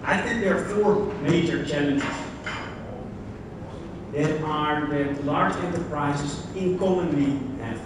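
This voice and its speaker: A man's voice: fairly high pitch, slow pace. Dutch guy doing a conference talk, chatty, asks the crowd stuff, mic kinda far off. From Netherlands. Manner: conversational, addresses the audience directly with questions. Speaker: conference speaker. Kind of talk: conference keynote presentation. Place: large conference hall. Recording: very echoey hall, distant mic, background noise.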